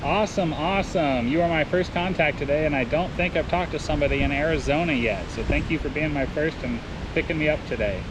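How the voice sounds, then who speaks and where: Higher-voiced American man calling on ham radio. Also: quick pace. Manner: spells in phonetic alphabet, procedural radio phrasing. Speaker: amateur radio operator. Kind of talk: ham radio calling, repeated call signs and signal reports. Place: outdoors in a park. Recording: outdoor recording with clear vehicle-like background noise.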